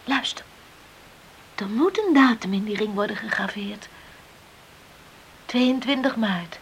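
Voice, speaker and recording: A woman's voice: mid-range voice, slow pace, gravelly, poor audio. An actress playing an eager, affectionate young woman recovering in bed, earnest and coaxing, in a hissy old radio drama. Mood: earnest, confiding.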